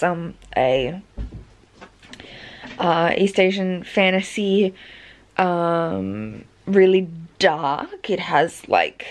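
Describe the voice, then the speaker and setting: A woman in her twenties, a book vlogger, chatting casually at home with plenty of ums and asides, her voice gone croaky. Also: fairly low pitch. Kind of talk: casual chat.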